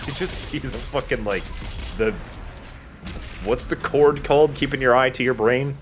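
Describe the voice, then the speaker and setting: A man's voice: medium pitch, moderate pace, slight background noise. A man giving casual commentary over a video game as he plays, joking, swearing and thinking aloud. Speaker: video game let's player. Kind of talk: casual gameplay commentary with friends.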